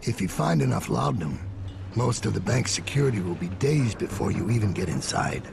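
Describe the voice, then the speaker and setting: An actor playing a weary, ailing older master assassin in a video game, a grave and terse mentor with a gravelly voice. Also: male voice, lowish voice, lively intonation, a little fast. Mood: grim, focused. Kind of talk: dramatic game dialogue. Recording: clear background ambient noise.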